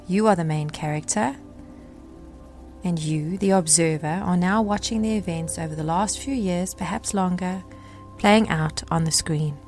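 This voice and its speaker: A woman narrating a guided meditation as the guide, speaking in a gentle, measured way with deliberate pauses. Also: lowish voice, faint music behind.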